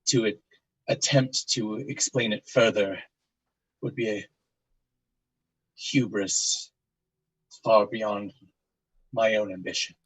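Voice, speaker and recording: An actor playing a grave investigator, speaking formally and deliberately as he questions and narrates. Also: fairly low pitch, steady tone, breathy edge, no room sound, poor audio. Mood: solemn. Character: grave, measured male investigator recording testimony of tragedy. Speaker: interviewer and narrator. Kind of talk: solemn dramatic monologue and questioning.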